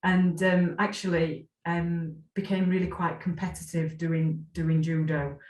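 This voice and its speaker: A woman's voice: fairly low pitch, little pitch movement, unhurried, roomy sound. A woman, thinking back as an interview guest, with plenty of ums and restarts. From Scotland. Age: 40s. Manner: warm, reflective, many fillers and restarts. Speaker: interview guest, former player. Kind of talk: casual interview.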